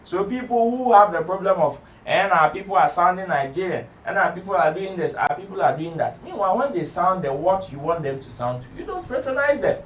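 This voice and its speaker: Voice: medium pitch, expressive, a little fast, hardly any pauses, a little hiss or hum. Insistent voice, echoey and distant. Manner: mixes English with pidgin, insistent. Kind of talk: recorded interview clip. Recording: echoey room, away from the mic.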